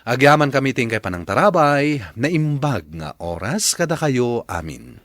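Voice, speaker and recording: A man's voice: medium pitch, big pitch swings, unhurried, fairly bright tone, no room sound, clean studio sound. A man announcing and narrating a radio drama program in a formal, theatrical scripted style.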